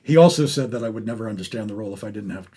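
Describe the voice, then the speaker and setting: A middle-aged man hosting an interview in a casual, effusive way, rambling and halting as he talks about the arts. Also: lowish voice, expressive, dark tone, breathy edge. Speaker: podcast host interviewing an actor. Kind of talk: casual, enthusiastic arts conversation.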